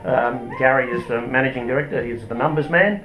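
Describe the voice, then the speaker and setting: Australian male product manager, hesitant explainer, interview setting with echo and clear background music. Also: medium pitch, a little fast, lo-fi sound. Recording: roomy echo with music behind.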